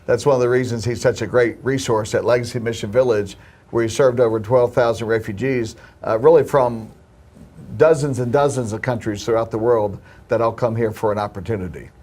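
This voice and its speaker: A film producer talks through his answers in an earnest, hopeful tone, sometimes restarting a phrase. He has a mid-pitched male voice. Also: steady tone, a little fast, a little mellow. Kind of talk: interview answers.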